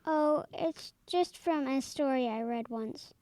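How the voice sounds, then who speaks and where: A woman's voice: high-pitched, slow pace, warm tone, no echo. A female performer playing an earnest, insistent little girl in an audio drama, matter-of-fact and a bit bossy.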